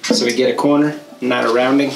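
A man's voice: mid-range voice, level tone, brisk, vocal fry, background noise. A man in his thirties co-hosting a DIY show, casually explaining each step of a woodworking project as he works in a home workshop. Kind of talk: step-by-step craft tutorial. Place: home workshop studio, indoors. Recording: roomy workshop echo.

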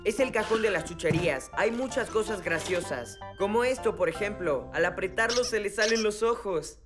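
A woman's voice: medium pitch, lively intonation, normal speed, lightly acted. A woman voicing a cheerful, eager young boy rabbit in a children's cartoon, with a Latin American Spanish accent and a playful manner. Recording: music behind the voice.

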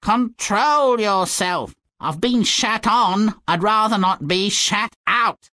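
A woman's voice: medium pitch, takes it slowly, sharp tone, no room sound. A woman voicing a tiny, put-upon fairy-tale hero in a video game, droll and complaining. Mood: disgusted, urging. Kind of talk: video game character acting.

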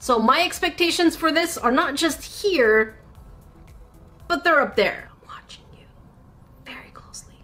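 A woman in her thirties whose first language is French, reviewing a craft kit in a chatty vlog from a home studio, with excited squeals and muttered asides. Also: high-pitched, a little slow, long pauses, faint music behind. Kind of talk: casual unboxing vlog.

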